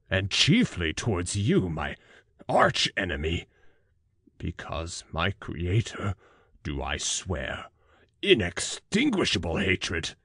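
Very deep, slow, gravelly monster. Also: male voice, a bit bright, no room sound, studio quality, full character voice. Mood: menacing, vengeful. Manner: slow, weighty, dramatic delivery. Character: monstrous male fiend, miserable, vengeful, pleading. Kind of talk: audiobook character acting.

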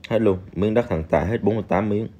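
Male, low warm voice, casual voice-over for a game video, lots of fillers. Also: fast. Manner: chatty, addresses viewers directly, many fillers. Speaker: game reviewer. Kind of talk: casual screen-recorded game walkthrough. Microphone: voice-over on screen recording.

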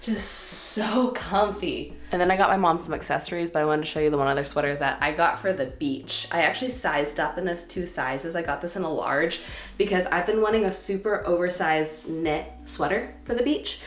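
A woman's voice: fairly low pitch, moderate pace, roomy sound. Chatty stuffy-nosed young woman vlogging. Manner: chatty and bubbly, laughs between phrases. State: stuffy nose. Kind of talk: casual vlog talk to camera. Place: home living room and bedroom.

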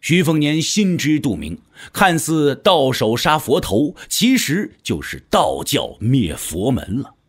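A man with a husky voice giving dramatic narration for a wuxia audio drama. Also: mid-range voice, huge pitch swings, slow, hardly any pauses.

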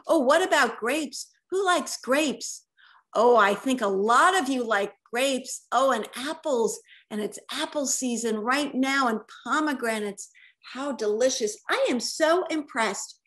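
Children's storyteller: middle-aged woman, high voice, leisurely expressive read-aloud, questions to the young audience. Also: measured pace. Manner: expressive, asks the listeners questions. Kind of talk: picture-book read-aloud with asides to children. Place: indoors, attic-style room at home. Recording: roomy home recording.

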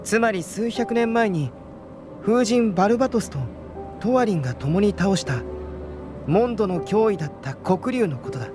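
A young, composed alchemist from a fantasy video game. The voice is high for a male and the delivery measured, scholarly and unflustered, with music playing clearly behind it. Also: lively intonation. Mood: calm, analytical.

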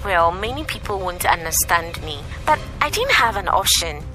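Expressive young Ghanaian woman, lively swooping pitch. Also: moderate pace, faint music behind. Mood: troubled. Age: young adult. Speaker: voice actress in audio drama. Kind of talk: naturalistic phone-call drama dialogue.

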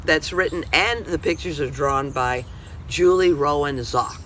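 Storytime host, woman in her 60s: unhurried, animated, heavy outdoor noise behind. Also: deep voice. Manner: animated, addressing young children. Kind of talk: children's read-aloud presenting. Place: outdoors on a house porch. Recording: clearly noisy outdoor camera sound.

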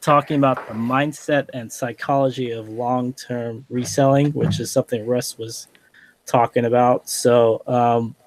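A man's voice: mid-range voice, unhurried, dry recording, poor audio. Stuffed-up man hosting a podcast. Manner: relaxed, rambling with many fillers. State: stuffed up, nasal. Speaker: podcast host. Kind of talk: casual podcast chat. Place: small office room indoors. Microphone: headset on a video call.

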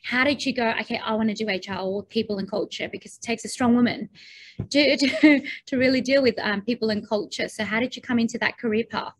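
A woman's voice: fairly high pitch, a little fast, low-quality recording. A woman in her thirties hosting an interview in an effusive, upbeat way over a video call. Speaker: interview host. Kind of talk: upbeat scripted introduction and interview.

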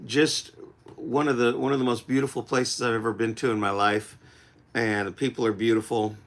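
A middle-aged man in his forties chatting casually on a home livestream, his voice still a little rough after losing it, rambling easily with lots of fillers. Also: medium pitch, little pitch movement, normal speed. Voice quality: recovering voice, slightly rough. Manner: laid-back, rambling with many fillers. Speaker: country singer hosting a livestream. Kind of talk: casual livestream chat with viewers. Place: home music room, indoors. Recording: loud acoustic guitar in the mix.

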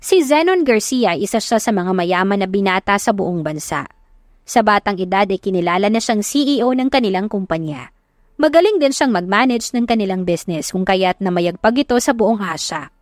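A woman's voice: mid-range voice, expressive, moderate pace, no echo, studio quality. A Filipino woman narrating a first-person romance story dramatically, as in an audiobook. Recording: music behind the voice.

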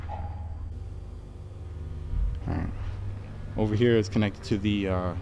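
A young man vlogging as he walks through an empty basement, talking in a rambling, nervous, hushed way, recorded on a handheld phone. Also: lowish voice, steady tone, slow pace, slight background noise. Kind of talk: casual handheld walkthrough vlog. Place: empty concrete basement, large indoor space. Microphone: handheld phone camera. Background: faint music.

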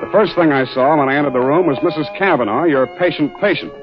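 A man playing a wry, flirtatious hard-boiled private detective in a 1940s radio drama, deadpan and wisecracking. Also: on the high side, quick pace, lightly acted. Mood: dry narrating. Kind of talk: 1940s radio acting, first-person crime narration. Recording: muffled 1940s radio broadcast, music behind.

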